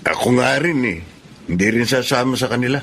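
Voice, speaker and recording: An actor playing a chummy, indulgent sailor husband in a Tagalog radio drama. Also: male voice, mid-range voice, brisk, fairly bright tone, slight background noise, close to an everyday voice.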